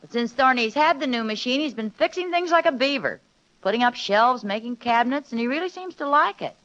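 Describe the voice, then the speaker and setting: A woman playing a sensible, good-humored suburban wife who soothes and gently chides in a mid-century radio sitcom, on a noisy old recording. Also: a little high, lively intonation, a little fast, lo-fi sound. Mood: teasing.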